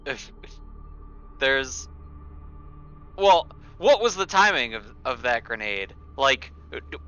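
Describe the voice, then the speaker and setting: Bright-voiced man, halting game player. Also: mid-range voice, big pitch swings, smooth, soft background music. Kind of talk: casual in-game roleplay talk.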